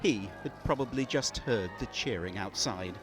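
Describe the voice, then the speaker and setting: A man reading a scripted television news report in a measured, storytelling way over a close mic. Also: fairly low pitch, lively intonation. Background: music under the narration.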